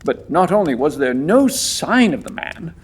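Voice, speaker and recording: A man's voice: on the high side, very animated, measured pace, slight background noise. A man playing an earnest, deferential and rather agitated police inspector in an audio drama. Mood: baffled, earnest. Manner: emphatic, deferential. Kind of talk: audio theatre acting.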